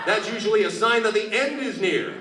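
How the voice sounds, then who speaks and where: A man playing an excitable Mexican wrestling announcer in a parody radio play, recorded in a roomy hall from a distance. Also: sits high, fairly quick, background noise, lightly acted. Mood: breathless excitement. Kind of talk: parody sports commentary.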